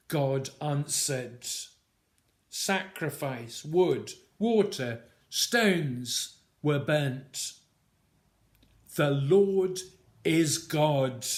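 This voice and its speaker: Very slow, deliberate man reciting poetry. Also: on the high side. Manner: measured, deliberate, short phrases with pauses. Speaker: poet reading own work. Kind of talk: dramatic poetry recitation of a Bible story.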